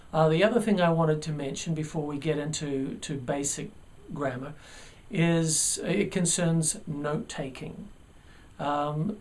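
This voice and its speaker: A man's voice: fairly high pitch, a little mellow. A man with a New Zealand accent, a college writing teacher, explaining the basics of grammar in a video lesson with earnest emphasis.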